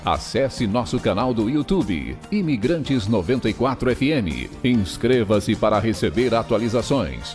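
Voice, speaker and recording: A man's voice: medium pitch, darkish tone. A man reading a scripted radio station promo as an announcer. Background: music under the voice.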